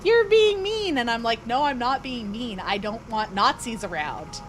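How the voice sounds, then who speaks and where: A woman's voice: a little high, expressive, normal speed, a little hiss or hum. A young woman, chatting bluntly and opinionatedly while playing a game. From Canada. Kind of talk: casual gaming chat.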